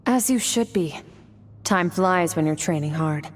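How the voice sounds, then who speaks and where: Low-voiced, stern swordswoman mentor. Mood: cool approval. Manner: measured, deadpan, instructive. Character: cool, stern young swordswoman mentor, aloof and disciplined. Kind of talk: anime video game voice acting. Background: background music.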